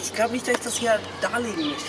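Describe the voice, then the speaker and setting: A man playing an awkward young would-be art student in a radio drama, earnest, halting and stammering. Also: high voice, expressive, over a noisy crowd. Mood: hesitant. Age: young adult. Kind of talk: naturalistic radio drama dialogue.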